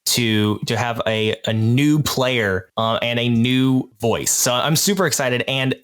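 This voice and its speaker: A man's voice: medium pitch, takes it slowly, a bit bright, smooth. Man hosting a casual podcast. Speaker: podcast host.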